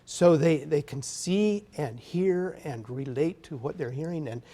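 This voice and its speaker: Man, soft warm timbre, slightly high pitch, keen explainer, interview talk. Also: measured pace. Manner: enthusiastic, explanatory. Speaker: guest, orchestra conductor. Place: TV studio.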